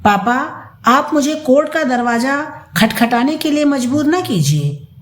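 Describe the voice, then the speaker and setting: A woman's voice: high-pitched, lively intonation, unhurried, pitched above the performer's own voice. A woman voicing a shameless, entitled grown son with a brazen, irritated manner in an audio story. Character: shameless, entitled adult son demanding his inheritance. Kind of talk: dramatised audio story reading. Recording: roomy echo.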